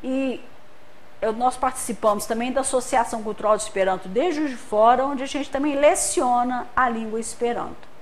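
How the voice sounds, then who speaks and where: A woman from Brazil gives a lecture with a high, light voice. She explains things patiently, uses homely examples and keeps checking that her listeners are following. Her tone is that of a practiced speaker addressing a gathered audience. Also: normal speed, a little hiss or hum. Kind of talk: spiritist center lecture.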